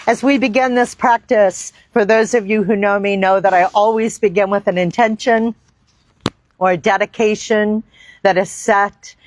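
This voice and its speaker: A woman teaching yoga, leading a guided meditation in deliberate, reverent phrases with long pauses. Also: medium pitch, steady tone. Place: outdoor park.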